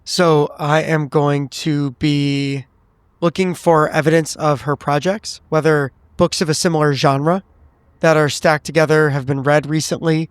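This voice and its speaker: A man roleplaying as a player in a tabletop game, speaking in a measured, polite, deliberate way. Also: a little high, fairly even intonation, clear, resonant tone, dry recording.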